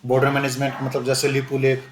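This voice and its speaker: A man's voice: medium pitch, monotone. A male teacher giving a conversational exam-prep lecture, switching between Hindi and English and repeating "okay" as a filler. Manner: conversational, repeats "ठीक है" often, switches into slow English phrases. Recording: echoey room with faint ambient noise.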